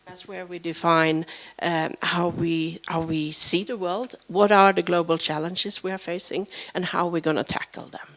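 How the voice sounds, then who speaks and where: A hoarse woman in her fifties, sick with a flu, giving a halting conference talk into a handheld microphone on a panel. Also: on the low side, fairly even intonation, fairly bright tone. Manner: halting, searching for words. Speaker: panel speaker. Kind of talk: conference presentation.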